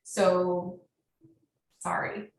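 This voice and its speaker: A woman with an American accent answering questions as a guest author in a casual, animated, chatty way that leans on "like". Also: fairly low pitch, very slow, vocal fry. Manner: animated storytelling with frequent "like". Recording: very echoey, distant, faint noise.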